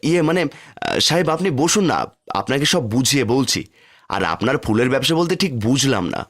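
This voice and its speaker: A man's voice: mid-range voice, big pitch swings, brisk, fairly bright tone. A man voicing a humble, simple village father in a cartoon, homely and easily amazed. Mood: humble, puzzled. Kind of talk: cartoon fairy-tale voice acting.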